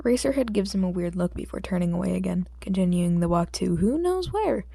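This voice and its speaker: A woman's voice: fairly low pitch, animated, a little fast, gravelly, mellow, breathy edge, no echo, clean studio sound. A woman reading scripted fiction as an audiobook narrator.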